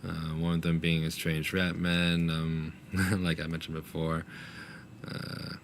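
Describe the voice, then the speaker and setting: Low-voiced man running a tabletop game. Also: monotone, slow pace. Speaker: game master. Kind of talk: casual tabletop roleplay, partly in character. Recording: faint background noise.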